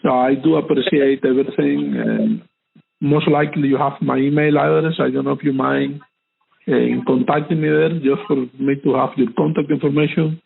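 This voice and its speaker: A man's voice: medium pitch, flat delivery, normal speed, creaky, breathy edge. A middle-aged man talking sincerely and casually, with an emphatic way of repeating himself. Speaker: cybersecurity conference speaker being interviewed. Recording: tinny phone-like line.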